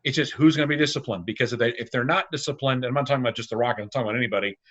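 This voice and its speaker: A man's voice: mid-range voice, very fast, a bit bright, dull audio. A man speaking as a guest announcer in a casual sports discussion, talkative and emphatic. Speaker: radio and arena announcer guest.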